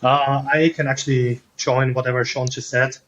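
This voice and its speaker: A man's voice: medium pitch, little pitch movement, normal speed, fairly bright tone, highs cut off, slight background noise. A man from Switzerland with a Swiss German accent, a podcast guest chatting casually and rambling with lots of fillers. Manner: rambling, many "like" and "you know" fillers. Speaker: podcast guest, SEO freelancer.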